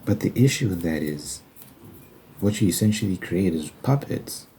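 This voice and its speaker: A man speaking in a casual, halting ASMR style, explaining religious ideas informally and posing questions as he goes. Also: deep voice, expressive, warm tone. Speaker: ASMR video creator. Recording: faint background noise.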